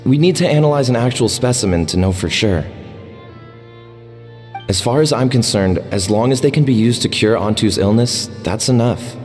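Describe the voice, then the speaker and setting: A man's voice: medium pitch, lively intonation, moderate pace, a little mellow, natural voice. Man playing an earnest healer, long reverb. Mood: grave, determined. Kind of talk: video game voice acting, dramatic dialogue. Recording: long reverb, music underneath.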